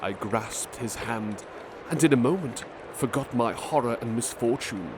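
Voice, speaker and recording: A man reads aloud in a gentle, measured ASMR storytelling style, taking his time over each line. His voice sits in the middle of the pitch range. Loud urban ambience plays steadily beneath him. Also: lively intonation, noisy background. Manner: measured, gentle, literary reading. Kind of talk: ASMR roleplay story reading.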